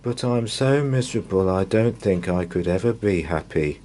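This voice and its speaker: A man voicing a miserable, gloomy little storybook character with a sad, squeaky, sniffy voice. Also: lively intonation, normal speed, mellow, slight background noise.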